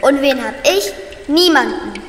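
A woman's voice: sits high, animated, unhurried, a bit bright, a little hiss or hum. A woman voicing a small, lonely, jealous dwarf in a children's radio play, grumbling and defensive. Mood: sulky. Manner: grumbling, defensive, then sheepish.

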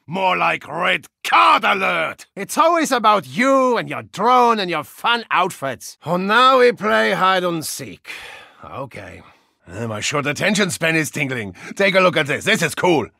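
Gravelly, high-strung soccer hooligan brawler. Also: male voice, big pitch swings, unhurried, no room sound, clean studio sound, full character voice. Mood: excited, boastful, then playful. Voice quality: gravelly, raspy. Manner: bombastic, theatrical, swinging from mutters to shouts. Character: brash, unhinged male soccer hooligan brawler, boastful and violent. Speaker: video game voice actor. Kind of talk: video game character voice lines.

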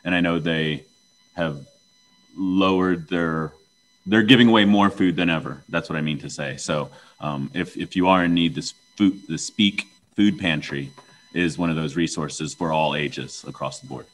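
Man, very low voice, forum moderator, halting restarts. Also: poor audio. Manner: repeats questions, halting restarts. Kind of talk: moderating a town hall.

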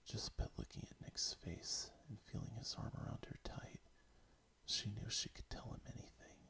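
Man softly whispering a story. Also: lowish voice, moderate pace, gravelly. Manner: soft whispering. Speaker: story reader.

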